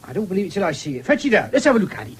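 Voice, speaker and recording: An actor playing a gruff, sceptical farmer and father with a rural English accent in a British radio drama, blunt, grudging and sarcastic. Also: sits high, very animated, rapid-fire, exaggerated voice.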